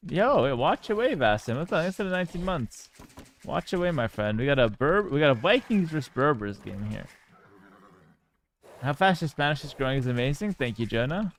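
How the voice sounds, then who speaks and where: A man's voice: fairly high pitch, sing-song, normal speed, slight background noise. A man from Canada, chatting casually on a live stream while playing a game, joking, swearing freely and reading viewers' messages aloud. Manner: laid-back, joking, swears casually, reads chat aloud.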